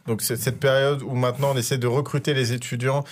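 A man's voice: mid-range voice, flat delivery, quick pace. A man, an author and interview guest, explaining at length with frequent hesitations. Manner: fluent explanatory, many "euh" fillers. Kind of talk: radio interview.

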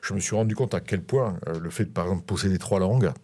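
A man's voice: sits low, fast talker, warm tone. A middle-aged man from France, speaking as an interview guest, hesitant with many fillers and restarts. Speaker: guest, school director. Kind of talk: interview on radio.

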